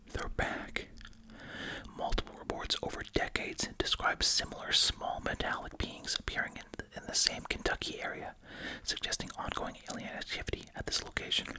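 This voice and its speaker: An ASMR host speaking in a breathy, unvoiced whisper close to the microphone while chewing gum, reading aloud and adding casual comments.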